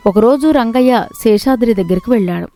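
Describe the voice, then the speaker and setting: A woman's voice: on the high side, brisk, mellow. A woman narrator reading a scripted moral story about villagers.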